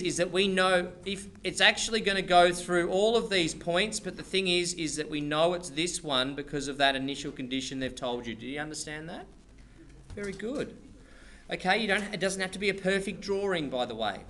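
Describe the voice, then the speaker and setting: A man teaching maths to a class, in a high, bright voice. He is chatty and playful, with jokes and restarts along the way. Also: slight background noise. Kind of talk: classroom lesson, joking asides.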